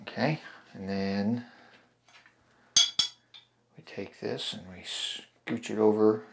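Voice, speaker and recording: Slow, gravelly-voiced man explaining darkroom steps. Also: fairly low pitch, very noisy. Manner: relaxed, thinking aloud with fillers and restarts. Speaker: photography channel host. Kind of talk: hands-on darkroom tutorial. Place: home darkroom in a laundry room.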